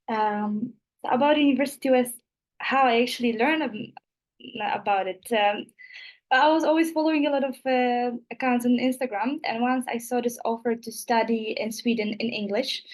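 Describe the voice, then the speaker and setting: A woman in her twenties with a Polish accent, giving an informal student presentation on an online call in non-native English. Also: a little high. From Poland. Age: 20s. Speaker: student representative. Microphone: video call.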